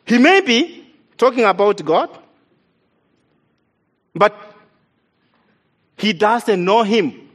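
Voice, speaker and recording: A man's voice: high voice, very animated, extremely slow, long pauses, a bit bright. A man from East Africa, whose first language is not English, preaching a sermon in an earnest, emphatic way and repeating key lines for stress.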